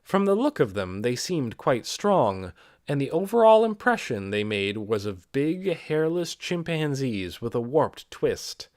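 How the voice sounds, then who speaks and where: A man reading a fantasy novel aloud as scripted audiobook narration, with expressive storytelling delivery. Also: mid-range voice, unhurried, no room sound, clean studio sound. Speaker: amateur audiobook reader.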